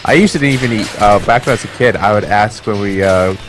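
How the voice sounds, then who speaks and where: Low-voiced man rambling casually over game music. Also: brisk. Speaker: co-host of a game stream. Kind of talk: casual chat over gameplay.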